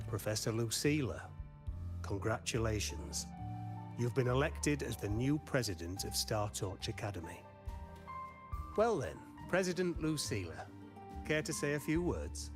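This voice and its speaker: A formal academy official in a game. He speaks in a middle register with ceremonious, announcer-like delivery, and music plays clearly behind him. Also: medium pitch, big pitch swings, measured pace. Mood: formal, congratulatory. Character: formal male academy official presiding over an election.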